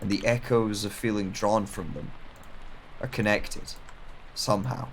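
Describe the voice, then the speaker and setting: A man's voice: lowish voice, steady tone, measured pace, a little hiss or hum, lightly acted, poor audio. A man playing a bewildered lone wanderer narrating an audio drama in the first person. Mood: reflective. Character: bewildered lone male wanderer in an abandoned town.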